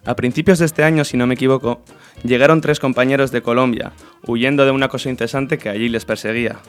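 A man's voice: mid-range voice, fairly even intonation, quick pace, faint music behind. A man with an accent from Spain, hosting an informal community radio interview. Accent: Spanish, from Spain.

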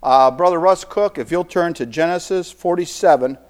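A middle-aged man preaching a sermon in a church, earnest, often repeating phrases and swinging between soft and emphatic lines. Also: a little high, moderate pace.

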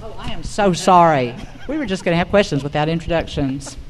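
Higher-pitched male moderator in an echoing hall. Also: animated, a little fast. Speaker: forum moderator. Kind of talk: formal procedural questioning. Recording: echoey hall with background noise.

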